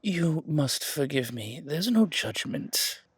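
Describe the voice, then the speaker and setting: Guy acting as a gushy flattering museum curator man, kinda raspy, really dry close sound, flirty and genteel, roleplay audio. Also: on the high side, no room sound, heavily acted. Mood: flattering, discreet. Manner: genteel, gushing, hesitant pauses, repeats words. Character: effusive, flattering, flirtatious male museum curator, fawning donation-seeker.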